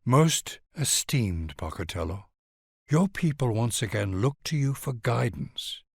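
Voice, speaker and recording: A man narrates a strategy game introduction with stately, formal grandeur. His voice has a gravelly creak and is recorded very dry, with no room around it. He speaks solemnly, as if honoring a great ruler. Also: mid-range voice, sing-song, a little slow, no echo. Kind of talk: scripted dramatic narration in a video game.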